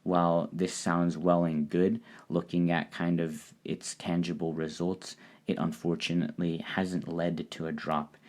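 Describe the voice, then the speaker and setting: Man, very deep voice, solo podcast host, measured, explanatory. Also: flat delivery, a little mellow. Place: lives in Japan.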